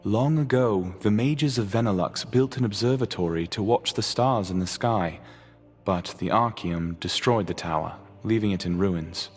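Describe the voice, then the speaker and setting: An actor playing a solemn storyteller, narrating ancient lore for a fantasy video game. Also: male voice, fairly low pitch, moderate pace, slightly rough. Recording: long reverb, music behind.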